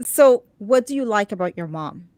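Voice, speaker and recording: A woman's voice: mid-range voice, animated, normal speed, dark tone, no room sound. A woman hosting a talk show, chatting casually with her guests and repeating words as she starts sentences. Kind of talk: casual interview chat.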